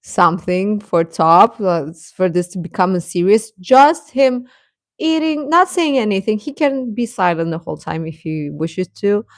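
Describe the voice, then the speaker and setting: A woman's voice: mid-range voice, very animated, normal speed, no room sound. Female livestream host, mid-range voice, casual and rambling, giggly delivery, very dry close sound. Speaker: livestream host chatting with viewers. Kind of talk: casual reaction chat.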